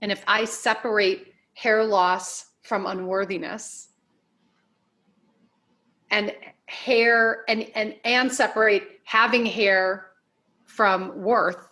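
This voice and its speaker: A woman being interviewed as a life coach, giving advice in deliberate, emphatic phrases with measured repetition. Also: mid-range voice, fairly even intonation, bright, forceful tone.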